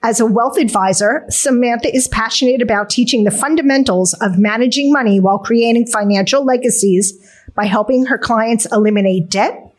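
A woman hosting an interview show, reading a guest's introduction and then chatting with short eager reactions. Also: a little high. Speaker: podcast host.

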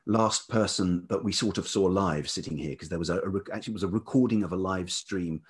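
A man speaking as an interview guest in reflective, casual conversation, halting thoughtfully as he searches for words. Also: low-pitched, breathy edge. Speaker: interview guest, writer and musician.

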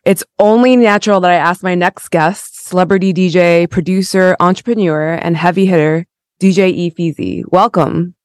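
A woman's voice: lowish voice, steady tone, moderate pace, no echo, studio quality. A woman hosting a podcast, moving between a scripted introduction and casual interview chat. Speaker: podcast host.

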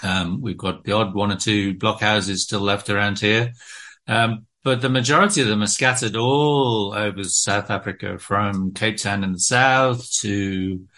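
British man, bright, hesitant interview talk. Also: on the low side, steady tone, measured pace. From Britain. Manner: hesitant, many ums and restarts. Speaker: guest author.

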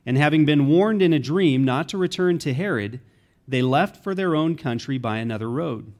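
A man's voice: on the high side, animated, moderate pace, a little mellow. A man reading a Bible story aloud from a script. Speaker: scripture reader.

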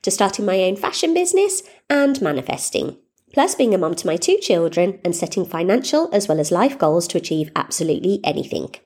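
A middle-aged woman with a somewhat low voice and a stuffed-up, cold-clogged sound. She hosts her podcast in a relaxed, upbeat ramble, full of ums and asides. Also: a little fast, husky. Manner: chatty, upbeat, rambling with fillers. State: congested with a cold. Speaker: podcast host. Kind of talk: casual solo podcast chat.

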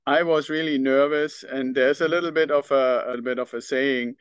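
A man's voice: mid-range voice, fairly even intonation, moderate pace, clear, resonant tone, no echo. German-accented man hosting a podcast, dry sound. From northern Germany. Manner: earnest, reflective, thinks aloud with fillers. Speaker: podcast host. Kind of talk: solo podcast monologue.

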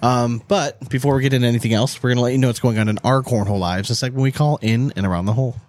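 A man's voice: medium pitch, fairly even intonation, very fast, darkish tone, slight background noise. A man co-hosting a podcast in casual, laid-back banter, sometimes drawing out his phrases. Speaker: podcast co-host.

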